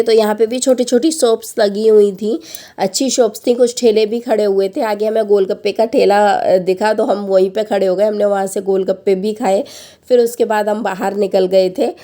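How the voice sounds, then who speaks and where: Woman in her thirties, family vlogger, sore husky throat, middle-pitched voice, chatty narration that pauses a lot while reading off prices. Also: warm tone. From Lucknow, India. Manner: chatty, lists prices with frequent hesitant pauses. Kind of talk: voice-over narration of a family outing.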